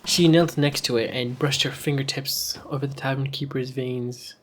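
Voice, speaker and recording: A man's voice: medium pitch, steady tone, moderate pace. Wry man narrating a story podcast. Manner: wry, conversational. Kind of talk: scripted narration with wry asides.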